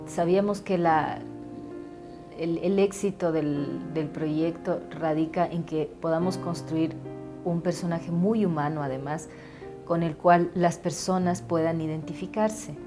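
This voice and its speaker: A woman's voice: deep voice, fairly even intonation, unhurried. A woman giving reflective commentary as a drama creator, searching for her words. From Ecuador. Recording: music behind the voice.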